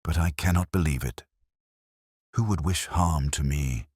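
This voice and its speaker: A man voicing a shy, nervous young carnival queen who speaks quietly and hesitantly. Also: a bass voice, measured pace, husky, no room sound, studio quality, exaggerated voice. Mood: timid, worried.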